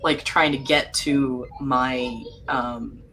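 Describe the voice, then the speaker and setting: A woman in casual podcast conversation, telling anecdotes in a lively way with frequent laughter. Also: very deep voice, takes it slowly, slight background noise. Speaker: podcast panelist. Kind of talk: casual chat.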